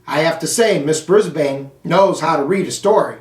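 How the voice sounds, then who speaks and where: An American man reading a children's storybook aloud in a deliberate, phrase-by-phrase way. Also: a little high, darkish tone. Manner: slow, deliberate, phrase-by-phrase delivery. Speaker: school superintendent reading aloud. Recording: echoey room, distant microphone.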